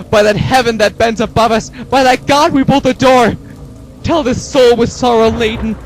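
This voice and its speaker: A man's voice: slight background noise, close to an everyday voice, low-quality recording. A man doing a frantic grief-stricken mourner. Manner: shouting, pleading, high strained cries.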